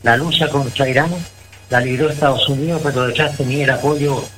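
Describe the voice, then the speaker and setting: A man's voice: mid-range voice, fairly even intonation, brisk, a bit bright, faint music behind. Rambling opinionated Chilean man on radio. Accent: Chilean Spanish. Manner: rambling, opinionated, many restarts. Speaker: radio show co-host. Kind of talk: casual political talk on radio.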